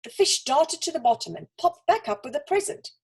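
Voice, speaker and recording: A woman's voice: extremely high pitch, very animated, a little fast, gravelly, a little mellow. A woman reading a children's story aloud as a storyteller. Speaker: school storyteller.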